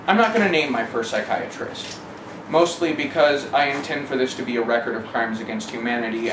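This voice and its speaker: Emphatic speaker in a noisy, echoey room. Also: mid-range voice. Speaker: interviewee giving testimony. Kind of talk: personal testimony in an interview. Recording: echoey room, clear background noise.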